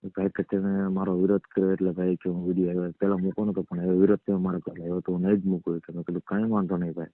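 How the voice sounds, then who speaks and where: A man's voice: deep voice, flat delivery, rapid-fire, few pauses, no room sound. A man talking casually on a recorded phone call.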